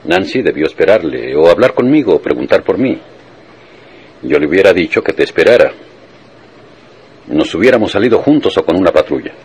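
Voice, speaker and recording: An actor playing a protective, exasperated police lieutenant with a Mexican accent in a radio serial. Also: male voice, deep voice, sing-song, brisk, long pauses, a little mellow, husky, exaggerated voice, low-quality recording. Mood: reproving.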